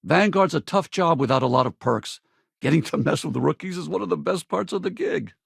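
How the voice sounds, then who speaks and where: Seasoned spaceship pilot, video game companion, heavy vocal fry, lively pitch, husky, earnest, easygoing. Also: male voice, a little fast, no room sound, studio quality, heavily acted. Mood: wry, friendly. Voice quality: gravelly, husky. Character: seasoned male spaceship pilot, wry regretful father, good-natured patrolman.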